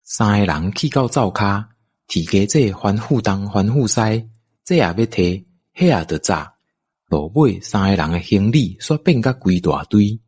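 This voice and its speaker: A man's voice: lowish voice, animated, unhurried, darkish tone, husky. A man with a Taiwanese accent reading scripted narration for an audio drama.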